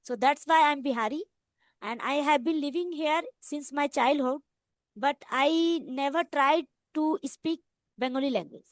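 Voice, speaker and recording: An Indian woman with a high voice, a native Hindi speaker, leads a friendly English practice chat. Her learner English comes in halting pieces with fillers and restarts. The sound is dry and close, like a video call. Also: no echo. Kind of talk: casual English practice conversation.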